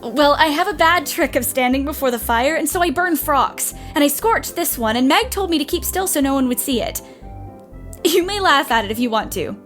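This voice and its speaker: A woman's voice: high voice, moderate pace, soft background music. A woman playing a spirited, tomboyish teenage girl in a period audio play, youthful and eager. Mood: frank, earnest. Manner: eager, expressive.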